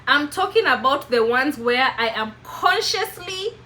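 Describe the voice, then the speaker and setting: A woman's voice: high-pitched, very animated, unhurried, pushed and bright, a little hiss or hum. A woman in her twenties with a Kenyan accent, telling a personal story to camera in an emphatic, preachy way. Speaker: vlogger.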